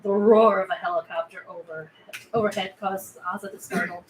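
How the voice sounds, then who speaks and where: A woman's voice: medium pitch, steady tone, a little fast. A woman narrator reading screenplay stage directions aloud at a table read. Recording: faint background noise.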